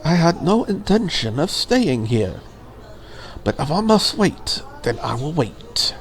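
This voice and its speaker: A woman's voice: low-pitched, big pitch swings, takes it slowly, heavily acted. A woman voicing a proud dragon girl ambassador in a visual novel, with a stiff, formal and grandiose way of speaking. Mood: stoic, formal. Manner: stiff, formal, solemn declarations. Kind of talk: visual novel character read aloud.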